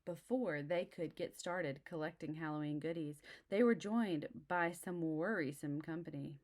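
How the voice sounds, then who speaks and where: Low-voiced woman reading a children's story. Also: a little slow, a little mellow. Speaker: storybook reader. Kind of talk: expressive read-aloud for young children, with asides.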